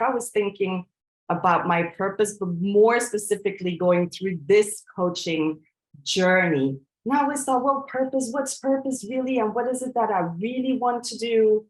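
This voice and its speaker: A woman in her forties from Belgium, a coach giving a presentation in an earnest, reflective way, often pausing on fillers and restarting her sentences. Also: lowish voice, reverberant, low-quality recording. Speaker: coach and trainer. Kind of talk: presentation to an audience.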